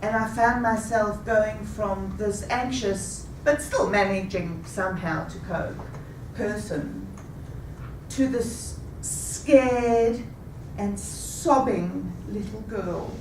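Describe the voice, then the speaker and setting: Woman, fifties, rehearsed personal story, unhurried, recorded far off in a roomy hall with audible background noise. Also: mid-range voice, a little mellow. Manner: measured, deliberate delivery. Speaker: speaker. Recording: echoey room, taken from a distance.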